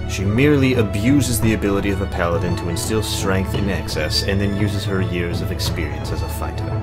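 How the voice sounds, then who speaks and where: A man's voice: deep voice, close to an everyday voice. A man playing an arrogant, creepy warlock mage who speaks in precise, formal, pompous diction in a visual novel. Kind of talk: visual novel character acting.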